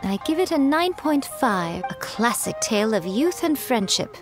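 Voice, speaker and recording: A woman's voice: a little high, sing-song, moderate pace, over music. A woman voicing a poised, sly fox-spirit shrine maiden, self-assured and teasing, in a video game. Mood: approving.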